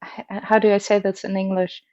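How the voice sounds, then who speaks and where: A woman's voice: mid-range voice, flat delivery, brisk, warm tone, no room sound, muffled recording. Dry-voiced woman, hesitant opera guest. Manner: thoughtful, hesitant, many fillers and restarts, laughs often. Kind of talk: casual interview chat.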